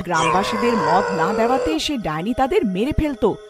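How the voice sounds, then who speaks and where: Animated woman telling a fairy tale. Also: medium pitch, normal speed, over loud music. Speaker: narrator. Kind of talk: scripted fairy-tale narration.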